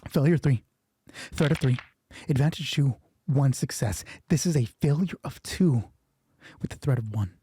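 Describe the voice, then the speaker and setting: A man narrating a solo tabletop roleplay as game master, improvising and thinking aloud in a halting way while reading out dice. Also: medium pitch, expressive, warm tone, breathy edge.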